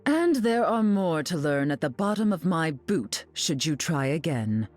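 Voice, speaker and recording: Haughty mutant queen for a hero game: slow, lively pitch swings, sardonic glamour. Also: soft background music. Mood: haughty, dry. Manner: haughty, drawling, dryly mocking. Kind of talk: video game character voice lines.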